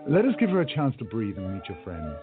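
A man playing a courtly, resolute time-travelling gentleman in a radio play, with formal, emphatic speech. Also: lowish voice, huge pitch swings, fast, narrow, tinny audio, over music. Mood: courteous, effusive.

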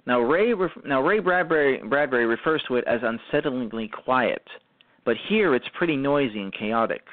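A man hosting a radio show, speaking in a halting way that often restarts and repeats phrases as he gives commentary. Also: mid-range voice, expressive, no room sound. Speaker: radio show host and writer-performer. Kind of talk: show commentary, semi-prepared talk. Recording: muffled lo-fi audio.

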